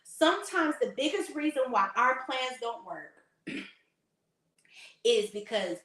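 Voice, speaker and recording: A slightly hoarse woman hosting a faith talk, preaching casually to her audience in a sassy, emphatic way. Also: fairly high pitch, very animated, takes it slowly, pushed and bright, reverberant. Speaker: faith talk host and lifestyle consultant.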